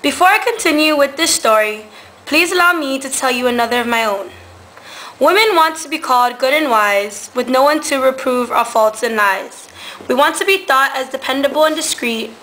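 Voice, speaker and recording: High, bright young woman storyteller. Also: expressive, moderate pace, poor audio. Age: teenager. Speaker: narrator. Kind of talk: scripted storytelling narration. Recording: amateur recording with faint noise.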